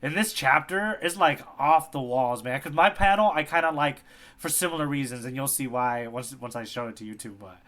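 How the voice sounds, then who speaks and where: A man's voice: mid-range voice, brisk, a bit bright. A man co-hosting a casual manga readalong podcast, talking in an informal, enthusiastic way. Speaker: podcast co-host.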